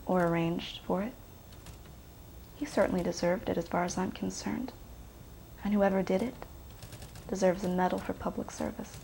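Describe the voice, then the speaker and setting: Bitter, challenging ex-girlfriend in a police drama; creaky mid-range voice, sardonic, faint noise behind. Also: fairly low pitch, steady tone, normal speed, long pauses, mellow, rough recording. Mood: blunt, unapologetic. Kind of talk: naturalistic film acting.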